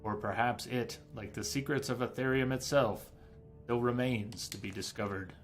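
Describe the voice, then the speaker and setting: A man's voice: mid-range voice, steady tone, normal speed, soft background music. A man who streams games, talking through a playthrough and reading lore aloud to his viewers. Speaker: game streamer. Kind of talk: live game commentary and reading in-game lore aloud.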